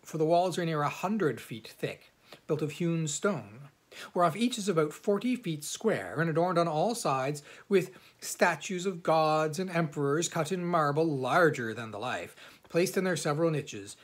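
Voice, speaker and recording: A man narrates a classic novel in a husky, slightly rough voice. His delivery is measured and formal, suited to old-fashioned literary prose, in the manner of an amateur audiobook reader. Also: a little high, animated.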